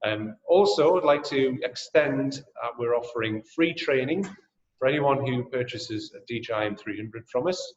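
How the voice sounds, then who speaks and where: A man from Newcastle with a Geordie accent, hosting a webinar and making a friendly sales pitch full of ums and uhs. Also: moderate pace. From Newcastle, England. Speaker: webinar host and drone sales trainer. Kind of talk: presenting a webinar and answering questions. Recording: echoey, dull webinar audio.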